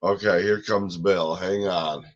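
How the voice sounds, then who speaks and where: An older man from Wisconsin with a deep, low voice chats in a slow, easygoing way on a livestream, cracking jokes and restarting his sentences. His sound is a little dull, with a faint hiss behind him. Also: steady tone. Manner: laughs often, jokes, trails off and restarts. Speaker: livestream co-host. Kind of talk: casual chat.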